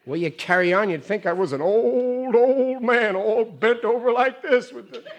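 An actor playing a touchy, slangy working-class husband of about forty, grumbling about aging in an old radio sitcom. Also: male voice, very high voice, normal speed. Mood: irritable, blustering. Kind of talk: 1940s-50s radio sitcom acting. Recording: vintage radio show recording.

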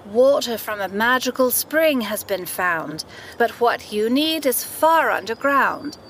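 An actress playing a theatrical fortune teller who speaks in lofty, sweet, singsong rhyme in a fantasy audio drama. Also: female voice, a little high, lively intonation, slow pace, fairly bright tone, a little hiss or hum, full character voice. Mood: urgent prophetic.

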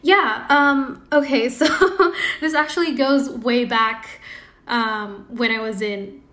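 A woman's voice: a little high, fairly even intonation, slow pace, a bit bright. A woman from Indonesia speaking as a podcast guest, explaining things conversationally and often adding "you know". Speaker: podcast guest, product designer. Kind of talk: casual interview chat.

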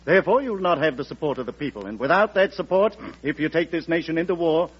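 Vintage radio drama: dignified, earnest legislator standing firm, rough-edged voice, dull archival sound. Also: male voice, a little high, animated, fast talker. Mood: earnest, resolute. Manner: formal, earnest, stubborn. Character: firm, dignified congressional leader opposing the president. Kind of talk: old radio drama acting. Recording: muffled old radio recording.

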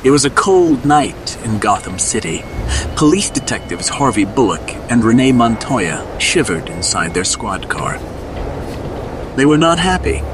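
A man's voice: mid-range voice, sing-song, measured pace, background noise. A man narrating a scripted superhero story in a suspenseful, dramatic storybook style.

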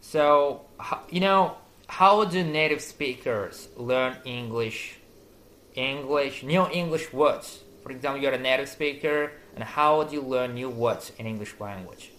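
A man's voice: medium pitch, a little slow, a bit bright, low-quality recording. A man whose first language is close to Turkish, asking questions in English with many restarts and fillers. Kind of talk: casual conversation.

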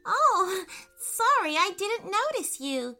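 Innocent, anxious young storybook girl, played by a woman: high, bright voice, earnest and pleading. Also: sing-song, measured pace, faint music behind, exaggerated voice. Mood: apologetic. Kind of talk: children's storybook character voice.

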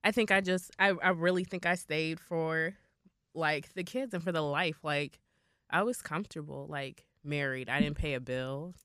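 A low-pitched young woman talks in a loose, candid way, heavy with "like" fillers and casual swearing. She is a podcast co-host. The sound is close and dry. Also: moderate pace, fairly bright tone, clean tone, no room sound. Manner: blunt, swears freely, many "like" fillers.

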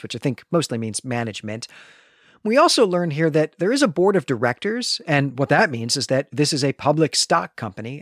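A man with a medium-pitched voice hosts a literary podcast. He explains things in a measured, orderly way. The sound is dry, with very little room in it. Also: no room sound. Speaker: podcast host. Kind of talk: literary discussion.

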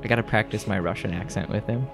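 A man's voice: fairly low pitch, fast, vocal fry, music in the background. A man playing a tabletop game, speaking casually and partly in character, blunt and swearing with playful exasperation. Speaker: player.

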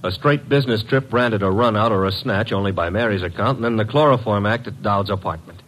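A man's voice: fairly low pitch, fairly quick, a bit bright. An actor playing a wisecracking, brash detective's legman in an old radio drama, wry and colloquial. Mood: wry, amused. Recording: noisy vintage radio drama recording.

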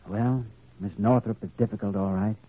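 An earnest, determined young doctor in an old radio drama. His lowish, husky voice is firm and persuasive, through a narrow vintage recording. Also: steady tone, normal speed. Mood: earnest, troubled. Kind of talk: 1940s radio drama acting.

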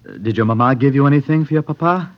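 A man's voice: mid-range voice, fast talker, natural voice. A male actor playing a sly young French murderer who feigns friendliness, coaxing and hesitant, in an old-time radio drama. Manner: coaxing, hesitant, smooth-talking. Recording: muffled, noisy old radio recording with clicks.

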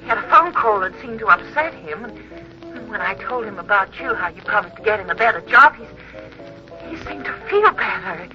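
An actress playing a meek, fretful wife in an old-time radio drama, polite and hesitant. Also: female voice, medium pitch, animated, fairly quick, pushed and bright. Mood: anxious. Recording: muffled old radio recording with music.

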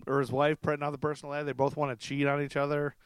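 A man's voice: a little high, monotone, fast talker, smooth, no room sound. A man hosting a podcast, telling stories casually and comically, chatty and amused, repeating facts for emphasis. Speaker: podcast host.

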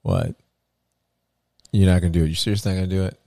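Male podcast host, low voice, dry sound, playful ribbing, casual swearing. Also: sing-song, fast, gravelly, mellow, no room sound. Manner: teasing, laughs often, swears casually. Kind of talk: casual chat.

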